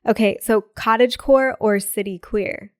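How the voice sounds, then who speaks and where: A young woman co-hosting a podcast in casual chat, bubbly and quick with asides, laughing often, sounding a bit sick with a cold. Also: on the high side, steady tone, no echo, studio quality. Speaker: podcast co-host.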